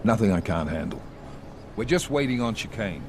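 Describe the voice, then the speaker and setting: An actor playing a stern, controlled intelligence chief in a video game, clipped and authoritative. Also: male voice, on the low side, animated, normal speed, noisy background, natural voice. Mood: stern, businesslike. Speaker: agency director briefing agents. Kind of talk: video game cutscene acting.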